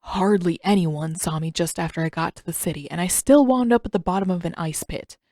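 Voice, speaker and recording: A woman voicing a gloomy, awkward teenage boy prince in a narrated story, with a dry, halting, grudging manner. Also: on the low side, warm tone, dry recording, natural voice. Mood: sullen.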